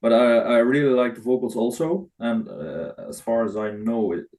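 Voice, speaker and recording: A man giving his view as a guest in a casual music chat, speaking haltingly and searching for words. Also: mid-range voice, a little mellow. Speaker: guest reviewer.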